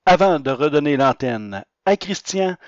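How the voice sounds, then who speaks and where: A man's voice: fairly high pitch, animated, measured pace, dry recording. A man presenting a podcast, delivering a scripted announcement in a dry, lo-fi recording.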